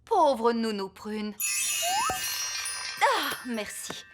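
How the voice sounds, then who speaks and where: A bossy cartoon fairy nanny, with a high and very expressive voice. She is brisk and dry, over prominent music. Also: heavily acted. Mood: firm, brisk. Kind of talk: French cartoon dub acting.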